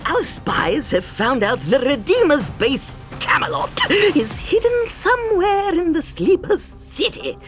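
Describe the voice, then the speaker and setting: A woman voices a fussy, theatrical adviser to a royal court in an audio drama. The British-accented voice sits fairly high and swings wildly in pitch. It is pompous and unhurried, with faint music underneath. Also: big pitch swings. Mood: grave, declamatory. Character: fussy theatrical male royal adviser who spins and dithers. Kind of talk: audio drama table read.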